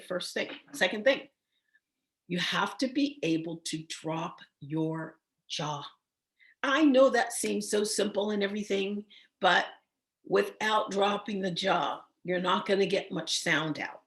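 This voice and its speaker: A woman coaches new singers over a computer microphone, her voice a little hoarse and froggy. She keeps checking that her students follow along, tossing in "okay?" and "right?" as she goes. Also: fairly low pitch, fairly bright tone. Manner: encouraging, checks in with frequent "okay?". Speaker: voice teacher. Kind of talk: online singing lesson.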